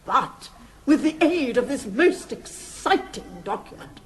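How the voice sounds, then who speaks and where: A woman playing an excitable history professor, declaiming dramatically in a fluttery radio comedy style. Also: high-pitched, a little slow, a little hiss or hum. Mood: eager. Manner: dramatic, declamatory, relishing each phrase.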